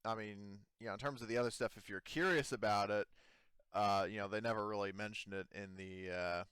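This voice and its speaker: A man narrates a tabletop roleplaying game as its game master, in a smooth, low-pitched voice. He feels his way haltingly through the improvised narration. The sound is dry, with little room to it. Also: fairly even intonation, a bit bright, dry recording. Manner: halting, searching for words.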